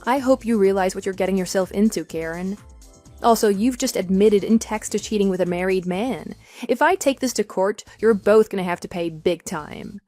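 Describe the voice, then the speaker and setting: A woman's voice: medium pitch, normal speed, dark tone, faint music behind, natural voice. A woman playing a coolly sarcastic wronged wife in a radio drama, speaking in a dry, firm, matter-of-fact way. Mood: firm, warning. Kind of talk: scripted radio drama dialogue.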